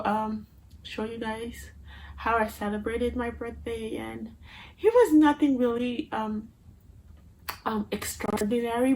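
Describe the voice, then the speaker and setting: Young woman, Haitian Creole accent, unhurried chatty vlogger, phone mic. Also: on the high side, slight background noise, poor audio. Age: 20s. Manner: chatty, playful and animated. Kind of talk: casual vlog to camera. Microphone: phone camera.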